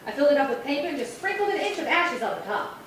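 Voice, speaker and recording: A woman playing a scheming, playful housewife in a screwball 1950s radio comedy, with animated theatrical delivery. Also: high voice, rapid-fire. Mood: sly, playful. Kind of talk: 1950s radio sitcom acting. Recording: echoey hall, distant mic, faint noise.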